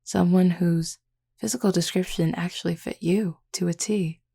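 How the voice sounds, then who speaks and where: A woman playing a flirty, teasing, confident werewolf pack member in an intimate audio roleplay, speaking unhurriedly with a husky, gravelly voice. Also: fairly low pitch, steady tone, moderate pace, mellow. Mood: sly, teasing.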